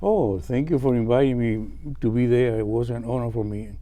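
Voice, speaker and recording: An older Cuban man speaks in a very dark, mellow voice. He answers politely and pauses to search for his English words. His Cuban Spanish accent is plain. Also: medium pitch. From Cuba. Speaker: classical guitarist guest. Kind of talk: interview answers.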